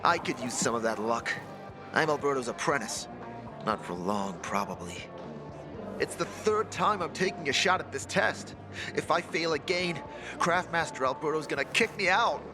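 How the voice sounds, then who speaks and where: A man's voice: a little high, animated, normal speed, music in the background, natural voice. A man playing a young apprentice inventor in a video game, chatty, earnest and unsure of himself. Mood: wry, discouraged. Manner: chatty, earnest, self-doubting. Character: young, eager, self-doubting male apprentice inventor. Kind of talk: video game cutscene acting.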